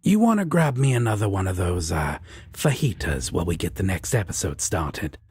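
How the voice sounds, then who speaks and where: A man playing a teasing, soft-hearted demon roommate in an intimate audio roleplay, talking casually and with gruff affection. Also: sits low, big pitch swings, moderate pace, dry recording, studio quality, exaggerated voice. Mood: playful.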